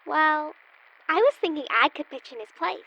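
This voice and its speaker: A brash young girl with a high, swooping voice, sly and bossy as she wisecracks and teases. It is radio play comedy, with a slightly dull, lo-fi sound. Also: expressive, normal speed, gravelly, sharp tone, heavily acted. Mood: sly, confident. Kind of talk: old-time radio comedy sketch acting.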